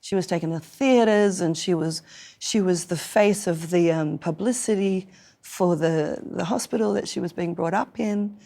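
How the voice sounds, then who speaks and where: Croaky Australian woman, playful storyteller. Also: fairly low pitch, moderate pace, mellow. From Newcastle, Australia. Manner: playful, theatrical storyteller with frequent laughs. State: hoarse, croaky after a gig while sick. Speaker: guest performer. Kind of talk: casual interview chat.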